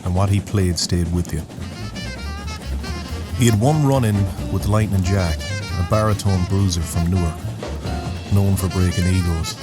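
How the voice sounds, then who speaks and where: A man narrating a scripted dramatic story in a solemn, poetic, deliberate way. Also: low-pitched. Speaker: narrator, host of a story series. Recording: music bed under the voice. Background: music.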